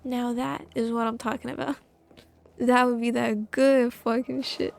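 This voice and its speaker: Casting: female game streamer, high voice, unhurried delivery, casual and playful, exclamatory, not shy of swearing. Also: flat delivery, creaky. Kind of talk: casual live gameplay reaction chat.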